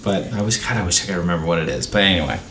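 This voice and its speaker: A man's voice: sits low, animated, racing, sharp tone, reverberant. A man co-hosting a podcast, chatting casually and reacting to his co-host's recap. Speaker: podcast co-host. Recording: clear background noise.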